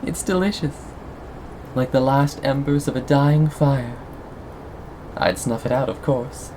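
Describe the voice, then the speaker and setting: A performer of unclear sex playing a sadistic, possessive cannibal captor in an ASMR roleplay, lingering on words with mock tenderness and soft menace. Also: mid-range voice, measured pace, slight background noise, lightly acted, rough recording. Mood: teasing, mocking. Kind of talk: ASMR roleplay, scripted monologue to listener.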